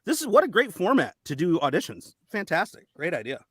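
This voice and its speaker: A man's voice: high-pitched, huge pitch swings, a little fast, breathy edge, no room sound, studio quality. An American man chatting casually and appreciatively, an auditioning voice actor speaking from a home sound booth. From United States. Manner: effusive, appreciative, informal. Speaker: auditioning actor and voice-over performer. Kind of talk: casual, friendly chat.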